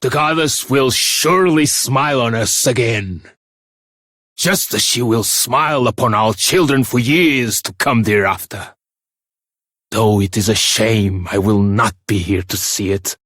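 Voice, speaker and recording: An actor playing an earnest, seasoned warrior reflecting solemnly in a video game journal monologue. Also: male voice, mid-range voice, unhurried, a bit bright, dry recording, studio quality. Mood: weary resolve.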